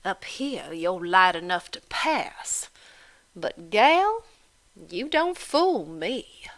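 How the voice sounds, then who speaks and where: A woman doing a loud, taunting landlady. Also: lowish voice, sing-song, drawn-out words, exaggerated voice, low-quality recording. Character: sneering, coarse woman landlady, scornful and bullying.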